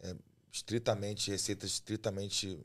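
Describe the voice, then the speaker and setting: A man from Rio de Janeiro with a Carioca accent, a chef chatting as a guest on a podcast in a relaxed, talkative way. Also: on the low side, normal speed. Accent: Carioca Brazilian Portuguese. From Rio de Janeiro, Brazil. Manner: relaxed, chatty, often ends phrases with a tag question. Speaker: chef and restaurant owner, guest. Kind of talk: casual podcast interview.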